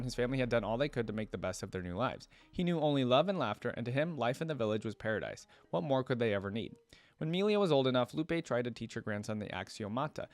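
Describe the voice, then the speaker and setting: A man with a mid-pitched voice hosts a casual reaction video. He thinks aloud and explains informally, moving between commentary and reading aloud, with music playing behind him.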